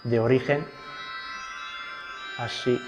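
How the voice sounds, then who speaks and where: A young man from Italy, a Carmelite friar with an Italian accent, giving a gentle spiritual talk and leading prayers. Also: mid-range voice, steady tone, in a large hall, muffled recording, over music. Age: young adult. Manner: gentle, unhurried, adds tag questions.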